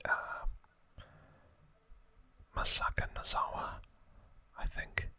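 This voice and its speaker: A man whispering trivia as an ASMR host, in a hushed, informal and unhurried explaining manner.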